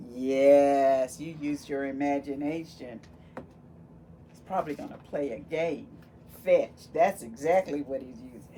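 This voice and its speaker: A woman reading a picture book aloud to young children, expressive and full of wonder, pausing to ask them questions. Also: deep voice, a little hiss or hum. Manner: animated, exclaiming with gasps and wonder, addressing young children. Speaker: volunteer children's read-aloud storyteller.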